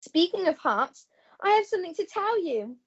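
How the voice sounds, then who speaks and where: A woman's voice: extremely high pitch, sing-song, normal speed, lo-fi sound. A woman playing a melodramatic, lovelorn young English damsel in a comic radio play, overwrought and theatrical.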